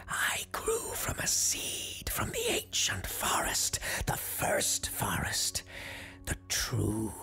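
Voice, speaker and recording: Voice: sits low, bright, forceful tone, full character voice. Eerie, whispering ancient tree spirit. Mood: menacing, solemn. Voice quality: whispering, breathy. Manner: slow, drawn-out, solemn and formal. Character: ancient wronged Christmas tree spirit, menacing, accusing, mournful.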